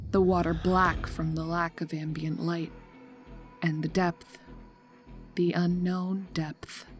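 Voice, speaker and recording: A woman reads scripted horror fiction as narrator. Her voice is a little low, and her delivery is measured and atmospheric, with music behind her.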